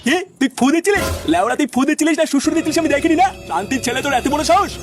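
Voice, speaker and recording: Irate cartoon family man played by a male actor, high voice, extremely fast, crude and suspicious. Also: lively intonation, over loud music. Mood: furious. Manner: blustering, crude, slangy. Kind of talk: cartoon comedy dialogue. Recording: faint background noise.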